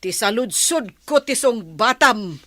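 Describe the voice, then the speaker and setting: A woman's voice: a little high, lively intonation, takes it slowly, a bit bright, dry recording. A woman with an Ilocano accent playing an irritable, scheming village wife in a comedy radio drama. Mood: accusing.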